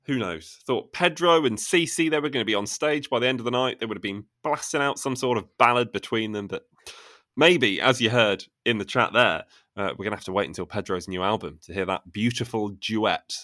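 A man with a lowish voice hosts a podcast in a chatty, self-mocking way. He often restarts his sentences. The recording is dry, with no room around him. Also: a little fast, sharp tone, dry recording. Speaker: podcast co-host. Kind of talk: casual podcast chat.

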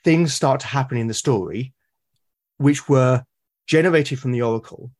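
A man with a British accent, a podcast guest talking casually and at length, often pausing to rephrase his thoughts. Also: medium pitch, a little mellow. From Britain. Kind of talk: casual conversation about solo roleplaying.

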